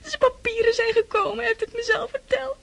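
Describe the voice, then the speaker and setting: A tearful young girl in a period radio drama. Her voice is extremely high, broken by crying. She sounds hurt and hopelessly in love. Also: steady tone, a little fast, vocal fry, a bit bright, full character voice. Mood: tearful, anguished. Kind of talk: radio play acting.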